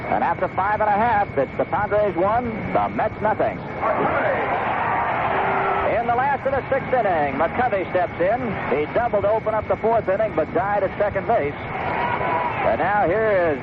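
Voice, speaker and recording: A man doing live radio baseball play-by-play in an unhurried, conversational, descriptive way. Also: sits high. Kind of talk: live radio sports commentary. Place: outdoor stadium broadcast booth. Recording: dull, very noisy 1970s AM radio broadcast. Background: loud steady ambient noise.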